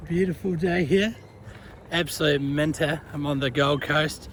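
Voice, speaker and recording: A man's voice: fairly high pitch, unhurried, slight background noise. Chatty Kiwi guy walking outdoors. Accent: New Zealand. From New Zealand. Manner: chatty, relaxed, uses Kiwi slang. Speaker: vlogger. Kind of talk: casual walk-and-talk vlog. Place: outdoors by a creek, walking a dog.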